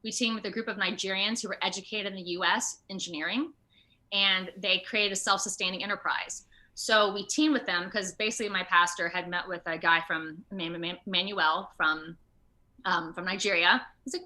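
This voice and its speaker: An American woman from Ohio talking casually as an interview guest, earnest and animated, rambling from point to point. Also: mid-range voice, rough recording. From Ohio, United States. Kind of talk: casual online interview chat.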